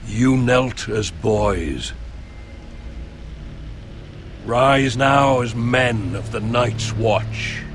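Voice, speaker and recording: An actor playing a gruff, blunt senior officer of a sworn watch in a video game drama. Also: male voice, mid-range voice, drawn-out words, slight background noise. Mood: solemn command.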